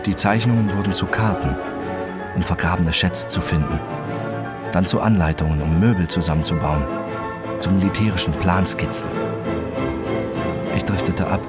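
Actor as low-voiced introspective male narrator over music. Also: moderate pace, long pauses, close to an everyday voice. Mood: detached, drifting. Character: introspective, obsessive male narrator telling his own story. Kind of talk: scripted first-person drama narration.

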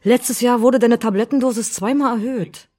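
An actress playing a fussy, bossy but caring wife in naturalistic audio drama dialogue, with a husky voice. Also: female voice, on the high side, fairly even intonation, normal speed, dark tone, natural voice. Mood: scolding.

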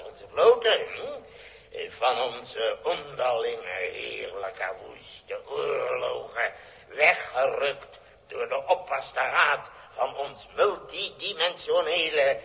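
An actor playing a sly, grandiose exiled warlord in an old radio drama, mock-polite and theatrical, hemming and repeating himself. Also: male voice, mid-range voice, vocal fry, bright, forceful tone, heavily acted. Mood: brooding, bitter. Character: sly, grandiose exiled warlord, cunning galactic game player. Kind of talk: 1960s radio drama acting. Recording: old radio recording in reverberant hall.

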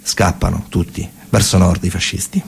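Gravelly, low-voiced Roman man telling a story. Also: fairly even intonation. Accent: central Italian, Roman. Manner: colloquial, emphatic, with pauses and repetitions. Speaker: stage actor and narrator. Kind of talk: dramatic theatrical storytelling monologue.

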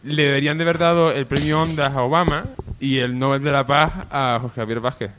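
A man's voice: mid-range voice, steady tone, brisk, fairly bright tone, a little hiss or hum. Young guy from spain, mid-range voice, casual joking on a radio comedy panel. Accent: Spanish from Spain. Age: young adult.

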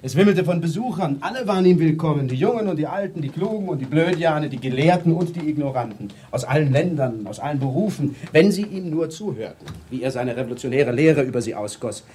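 A man's voice: a little high, quick pace, few pauses, slight background noise, lightly acted. An actor playing a worldly, amused, cynical bourgeois ex-revolutionary, telling his stories expansively and sardonically in a radio drama. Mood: animated, amused. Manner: sardonic, animated, expansive storyteller. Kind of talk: 1970s German radio drama acting.

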